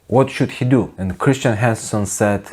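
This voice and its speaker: A man in his forties giving an opinionated video essay to camera in a deadpan, wry manner. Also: on the low side, moderate pace. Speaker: host of a music production video channel. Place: small home studio, indoors.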